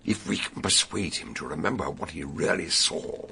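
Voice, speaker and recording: An actor playing an eccentric, brilliant older British detective doctor in a radio drama, ponderous, emphatic and theatrical. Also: male voice, rumbling low, normal speed, vocal fry, pushed and bright, a little hiss or hum, full character voice. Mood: probing.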